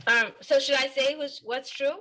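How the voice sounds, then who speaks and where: A woman taking part in a casual group video call, speaking haltingly with lots of fillers. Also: high voice, fairly even intonation, fairly bright tone. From Japan. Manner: hesitant, many fillers. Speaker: participant.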